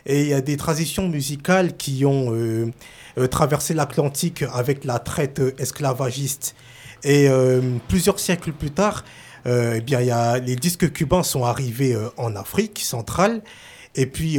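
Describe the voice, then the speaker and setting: A man's voice: mid-range voice, a little mellow. A man from France, a journalist and author, explaining his subject in casual talk-show chat with frequent hesitations. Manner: hesitant, many fillers.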